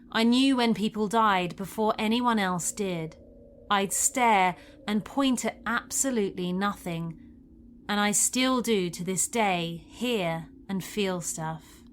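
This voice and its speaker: A woman's voice: medium pitch, slight background noise. A woman reading a scripted first-person paranormal story as a narrator.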